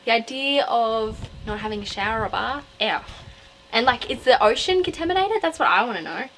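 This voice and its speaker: A woman's voice: fairly high pitch, animated, fairly quick, a bit bright, a little hiss or hum, poor audio. A young woman with an Australian accent, talking casually and digressively to camera as a book review vlogger. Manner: rambling, digressive, self-interrupting.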